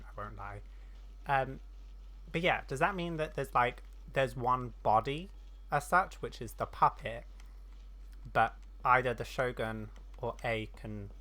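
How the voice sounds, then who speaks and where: A woman's voice: rumbling low, fairly bright tone. A woman giving casual gameplay commentary, hesitant and thinking aloud as she goes. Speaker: game streamer.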